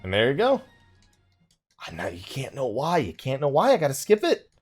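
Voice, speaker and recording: Male streamer, casual let's play commentary, animated swinging pitch, middle register, talking to himself, game music behind. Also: normal speed. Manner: talks to himself while playing.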